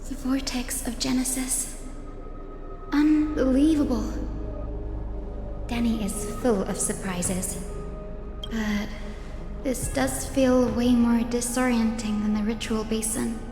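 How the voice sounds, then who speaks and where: A woman's voice: fairly high pitch, slow pace, long pauses. A woman voicing a cheery, courteous and sincere young healer in a video game. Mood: wondering. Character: bright, polite, earnest young healer woman. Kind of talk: English video game voice acting. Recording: echoey hall reverb under music.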